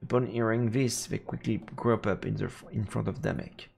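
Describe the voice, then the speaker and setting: A man streaming a visual novel, reading the text aloud as measured, dramatic narration. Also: fairly low pitch, steady tone, gravelly, darkish tone, slightly rough, faint music behind.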